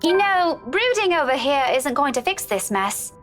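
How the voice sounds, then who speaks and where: Teasing caring friend, high voice, very lively intonation, blunt, exasperated, game drama, music behind. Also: female voice, moderate pace. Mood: exasperated concern. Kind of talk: dramatic visual novel voice acting.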